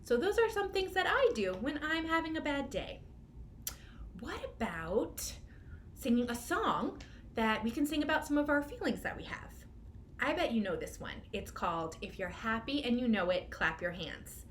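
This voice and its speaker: A woman's voice: a little high, big pitch swings, normal speed, a bit bright. A woman hosting a children's storytime as a librarian, giving simple, encouraging instructions to young children. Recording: clearly noisy room recording.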